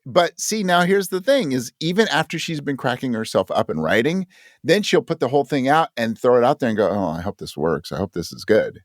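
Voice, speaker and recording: A man's voice: medium pitch, big pitch swings, brisk, dry recording, clean studio sound. A man talking casually as an interview guest, candid and self-questioning, often acting out his own thoughts. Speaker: interview guest, audio drama creator. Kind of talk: casual conversation.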